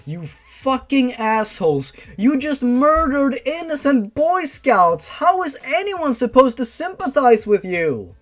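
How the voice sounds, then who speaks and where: An outraged, cursing scolder put on by a story narrator, indignant and emphatic. The voice jumps high for the storybook outburst. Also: big pitch swings, slow, few pauses, heavily acted.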